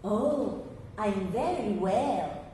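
Woman putting on a slow, singsong language-tape voice. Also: mid-range voice, animated, dark tone. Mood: stilted, chirpy. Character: stilted English-lesson tape speaker reciting textbook dialogues. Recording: echoey stage, distant mic, faint noise.

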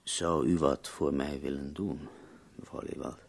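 An actor playing an ailing, intense middle-aged poet in a radio play, husky and gravelly, speaking with theatrical emphasis. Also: male voice, extremely low pitch, fairly even intonation, unhurried, heavily acted. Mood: measured. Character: ailing, intense male German poet dictating to a woman.

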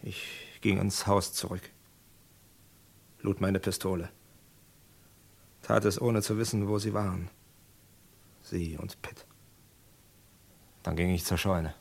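A grim cattle rancher and gunslinger in a radio crime drama. The man's voice sits low. He speaks in short, weighty, deliberate phrases with stubborn resolve. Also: steady tone, creaky, slightly rough, heavily acted. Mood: haunted recounting. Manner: terse, heavy, deliberate.

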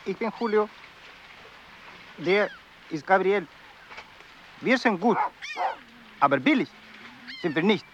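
A boastful river boatman in a radio play. He speaks bluntly in a fairly high, animated voice. Faint outdoor sound lies behind him. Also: slow, pauses often, highs cut off, slight background noise, heavily acted. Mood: cocky. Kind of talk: radio drama acting.

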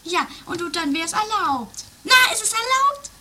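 A woman's voice: very high voice, very animated, moderate pace, over a noisy crowd. A woman playing a flighty, theatrical, breezily unrepentant lady in a trashy comedy radio play, stammering and exaggerating, over music. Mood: hesitant pleading. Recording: music under the voice.

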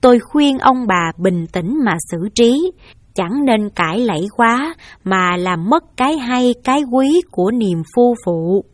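A calm district chief gives slow, measured advice to a quarrelling couple, read by a woman in her own voice. The pitch sits fairly high, and the recording is close and dry. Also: dry recording.

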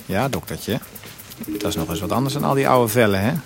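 A man's voice: fairly low pitch, lively intonation, a little fast, vocal fry, over music. An actor playing a young husband of a cancer patient, narrating his bitter, sarcastic inner thoughts in a radio play. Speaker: narrator and lead character. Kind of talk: Dutch radio drama.

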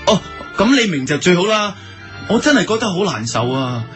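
An actor with a Hong Kong Cantonese accent playing a naive, lovesick young shop owner, gushing and stammering in a radio drama. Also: male voice, sits high, sing-song, moderate pace. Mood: feverish. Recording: music behind the voice.

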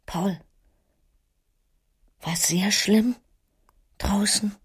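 An actress playing a frail, sick mother in a radio drama, speaking gently and weakly, with worry and halting breath. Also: mid-range voice, steady tone, heavily acted. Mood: tender, worried. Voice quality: breathy, weak.

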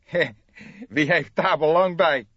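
A man's voice: on the high side, slow pace, a bit bright, dry recording, lo-fi sound, pitched above the performer's own voice. A man voicing a brash, impatient man in his thirties with a raw, growling voice, in an audiobook detective story. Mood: probing, impatient. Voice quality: raw, raspy.

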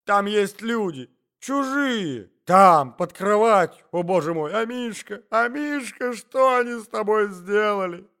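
A man's voice: high-pitched, expressive, a little slow, fairly bright tone, smooth, voice pushed up, full character voice. A man voicing a melodramatic, hysterical lady who wails and shrieks theatrically in an audiobook story. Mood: wailing horror. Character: hysterical, melodramatic lady wailing over her lapdog.

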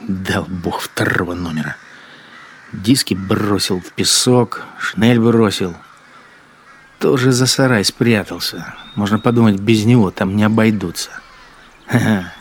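An actor playing a bitter, spiteful wartime machine-gunner in a radio drama, grumbling with sardonic scorn. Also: male voice, fairly low pitch, a little hiss or hum. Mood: scornful grumbling. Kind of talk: radio drama interior monologue.

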